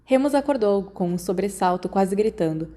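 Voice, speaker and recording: Woman, Brazilian accent, mellow timbre, story narration, audiobook reader. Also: mid-range voice, lively intonation, moderate pace.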